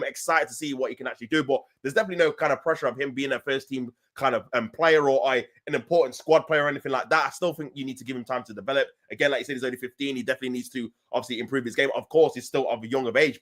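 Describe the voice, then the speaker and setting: A London man talks very quickly in a slightly high voice, hosting a casual football livestream. He answers his chat in an animated, slangy style, landing his points with emphasis. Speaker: football fan channel livestream host. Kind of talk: casual live chat, reading and answering viewer comments.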